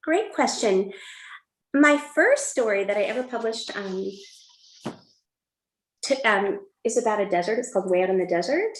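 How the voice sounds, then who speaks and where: A woman's voice: mid-range voice, expressive, moderate pace, long pauses, a little hiss or hum. A middle-aged woman with an American accent talks conversationally as a guest author, answering interview questions about her work. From Illinois, United States. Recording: roomy, echoing room sound.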